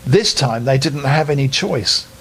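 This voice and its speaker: A man's voice: mid-range voice, expressive, a little slow, fairly bright tone, slightly rough. An older man narrating a children's bedtime story expressively, as a storyteller.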